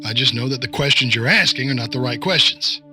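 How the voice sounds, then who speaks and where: A man playing a cryptic, domineering agent of a shadowy conspiracy in an audio drama, evasive and commanding in a measured way. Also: medium pitch, over music, natural voice. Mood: cryptic, smug.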